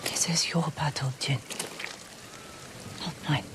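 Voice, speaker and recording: A woman playing a weary, guarded survivor in a video game, speaking in a hushed, firm, regretful manner. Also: deep voice, steady tone, takes it slowly, whispered. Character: weary, guarded woman thief seeking new life. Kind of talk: dramatic video game acting.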